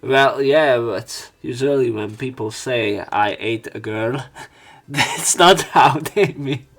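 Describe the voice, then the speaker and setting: A man reading a visual novel aloud with commentary, in a theatrical, expressive way. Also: mid-range voice, slow. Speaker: let's player narrating and commenting on a visual novel. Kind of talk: dramatic read-aloud with commentary.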